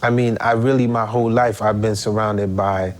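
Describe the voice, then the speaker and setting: A middle-aged man, telling stories in an interview in slangy, animated talk full of rhetorical questions. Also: lowish voice, moderate pace. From Haiti. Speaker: musician guest. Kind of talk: relaxed storytelling interview.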